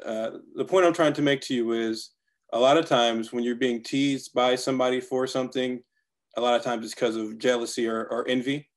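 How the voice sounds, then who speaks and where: A middle-aged American man giving a casual personal reflection on a video call. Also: medium pitch.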